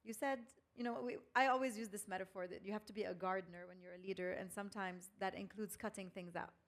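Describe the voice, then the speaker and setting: A woman's voice: medium pitch, steady tone, fast. A woman hosting a fireside chat, interviewing conversationally and reflectively, paraphrasing as she works toward her questions. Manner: reflective, paraphrases before asking.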